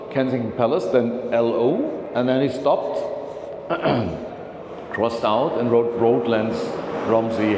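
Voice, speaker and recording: A man giving a slide talk to an audience, speaking haltingly with many fillers and restarts and calling for the next slide. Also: mid-range voice. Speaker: presenter at a philatelic society meeting. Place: meeting hall. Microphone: handheld or lapel mic. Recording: echoey hall, noisy room recording.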